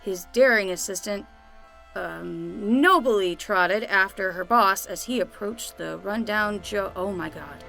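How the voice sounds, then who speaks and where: A woman's voice: mid-range voice, slow pace, creaky, bright, forceful tone, faint music behind, heavily acted, rough recording. A woman playing an earnest, exasperated young summer nanny and reluctant detective's assistant in a scripted fiction podcast, talking in a conversational way. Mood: flustered, alarmed.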